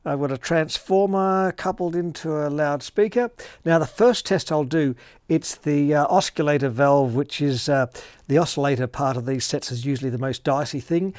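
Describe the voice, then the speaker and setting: A man's voice: on the high side, normal speed, a little mellow, dry recording. Hobbyist man, close dry voice. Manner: hesitant, thinking aloud. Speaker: hobbyist radio repairer and presenter. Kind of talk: casual workshop demonstration.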